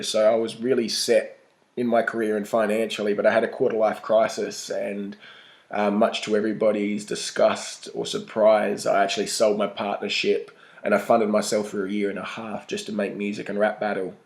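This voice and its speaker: A man's voice: lowish voice, little pitch movement, a little fast, gravelly. A young Australian man talking informally and slangily in a casual documentary interview. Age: young adult. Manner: informal, slangy, mild swearing. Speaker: battle rapper being interviewed.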